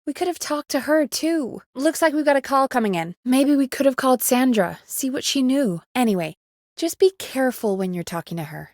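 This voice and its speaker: A woman playing an earnest, wry radio show producer in a video game, speaking in an expressive, conversational way that is supportive but easily exasperated. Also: sits high, a little fast, no room sound, studio quality. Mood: regretful, dejected. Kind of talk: naturalistic video game dialogue.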